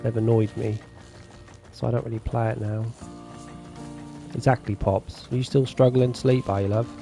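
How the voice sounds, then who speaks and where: A man's voice: medium pitch, moderate pace, gravelly, soft background music. A man streaming a farming game, chatting casually with his viewers and reading their messages aloud. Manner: relaxed, chatty, reads chat aloud. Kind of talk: casual chat with viewers while gaming.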